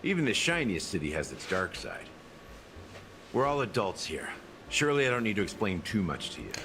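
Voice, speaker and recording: An actor playing a wry, middle-aged male investigator in a video game, telling things in a measured way. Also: on the low side, big pitch swings, slight background noise. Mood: frank, weary. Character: older male family investigator and drink mixer, wry, unhurried. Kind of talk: video game story voice acting. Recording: game music softly behind.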